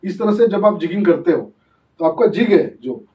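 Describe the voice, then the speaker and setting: A man's voice: sits high, expressive, normal speed, warm tone. A young man hosting a fishing channel, giving a casual tutorial and explaining as he demonstrates, often slipping English terms into his talk. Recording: echoey, distant from the mic.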